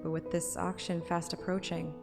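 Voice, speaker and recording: A woman's voice: on the low side, level tone, normal speed. A woman reading a game story aloud as a streamer, moving between first-person audiobook narration and casual asides. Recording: music behind the voice.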